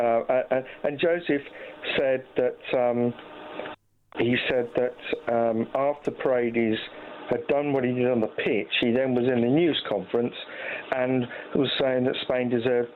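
A man's voice: mid-range voice, slight background noise. A man calling into a radio phone-in, hesitant with lots of ums but firm in his opinions. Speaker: caller. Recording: tinny phone line.

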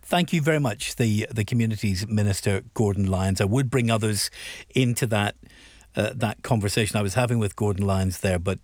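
A man's voice: lowish voice, fairly quick, a little mellow, no room sound. A man hosting a political discussion, courteous but probing with his guests. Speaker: radio or TV current affairs host. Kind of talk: political interviewing, moderating.

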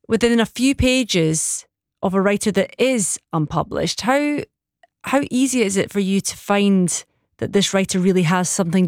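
Female radio host, dry studio sound, halting questions with restarts. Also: mid-range voice, no room sound. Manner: warm, hesitant questioning with restarts. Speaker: radio presenter. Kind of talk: radio interview.

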